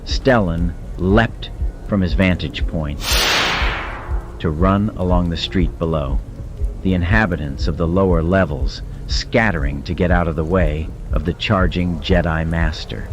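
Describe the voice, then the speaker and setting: A man's voice: sits low, unhurried, highs cut off. A man giving dramatic scripted narration of a fiction audiobook. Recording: studio narration over cinematic music.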